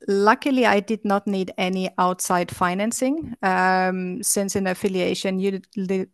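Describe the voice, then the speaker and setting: Woman with a low gravelly voice, kinda hesitant, lots of ums, dry close sound. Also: fairly even intonation, a little slow, dry recording. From Austria. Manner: hesitant, many fillers and restarts. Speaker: guest entrepreneur. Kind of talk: casual interview answers.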